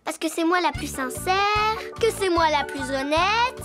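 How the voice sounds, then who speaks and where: A woman's voice: very high voice, lively intonation, unhurried. A woman voicing a boastful, playful young girl elephant in a children's cartoon. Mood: self-important. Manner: boastful, exclamatory. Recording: music behind the voice.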